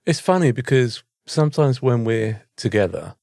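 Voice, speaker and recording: A man's voice: medium pitch, animated, normal speed, creaky, a little mellow, dry recording, studio quality. British man in casual podcast chat. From Britain. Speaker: podcast co-host.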